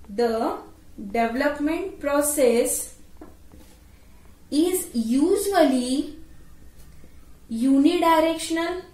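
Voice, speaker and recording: Female tutorial presenter, very unhurried delivery with repeated phrases, recorded in an echoey room away from the mic. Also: sits high, darkish tone. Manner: repeats phrases, adds checking tags. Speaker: teacher. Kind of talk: explanatory tutorial lecture. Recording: roomy echo, distant microphone.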